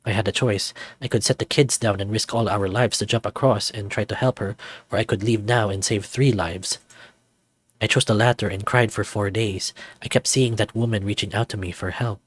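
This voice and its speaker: A man playing a caring young firefighter in a visual novel, speaking in an earnest, gentle, reflective way. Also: on the low side, steady tone, moderate pace, husky, no room sound. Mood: somber, reflective. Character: caring young male firefighter, steady and sincere.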